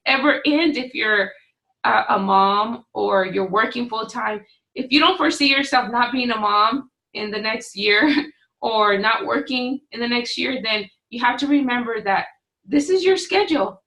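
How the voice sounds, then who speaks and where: A woman in her forties, a fitness coach talking straight to her webcam from a small home office, firm and no-nonsense, her voice slightly hoarse. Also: fairly high pitch, bright, forceful tone, rough recording. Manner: firm, no-excuses, pep-talk delivery. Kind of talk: direct-to-camera coaching talk. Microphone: webcam at desk distance.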